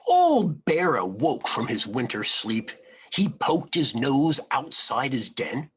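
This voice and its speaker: Animated, husky male storybook reader. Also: medium pitch, slow pace. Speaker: rabbi reading aloud. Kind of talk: expressive children's storybook narration.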